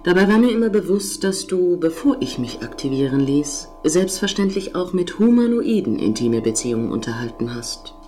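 A woman voicing an attentive, composed female on-board computer with formal, measured phrasing in a scripted science fiction audio drama. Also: low voice, sing-song, mellow. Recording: music under the voice.

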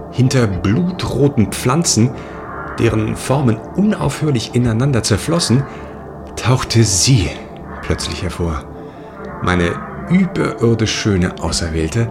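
A man playing a brooding sect leader in a science fiction audio drama, speaking with dramatic, measured delivery. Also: lowish voice, creaky, full character voice. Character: brooding male sect leader in space, recounting his downfall.

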